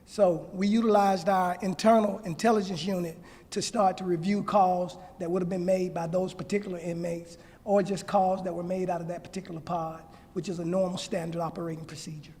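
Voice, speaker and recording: A man speaking as a law enforcement official, answering press questions in a measured, formal and halting way. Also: high voice, level tone, darkish tone. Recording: hall with long reverb.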